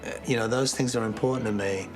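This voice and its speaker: A man's voice: medium pitch, steady tone, fast talker, music in the background. A man speaking reflectively in a documentary interview.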